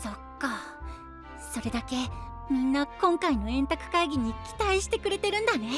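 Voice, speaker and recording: A man playing a small, innocent young boy knight in an anime audio drama, soft-spoken and guileless. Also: very high-pitched, sing-song, moderate pace, over music. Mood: touched.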